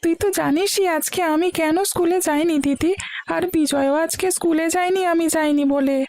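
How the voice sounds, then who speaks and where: A woman's voice: very high voice, fairly even intonation, a little fast, a little mellow, slight background noise. A woman voicing an eager, playful village schoolboy in a cartoon story, pleading in manner. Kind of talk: Bengali cartoon story voice acting.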